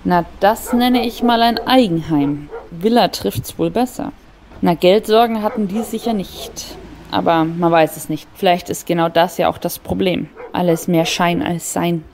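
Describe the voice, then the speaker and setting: A woman's voice: on the low side, a little slow, crowd noise. A woman playing a composed, wry homicide detective in an audio crime drama, matter-of-fact, polite and probing.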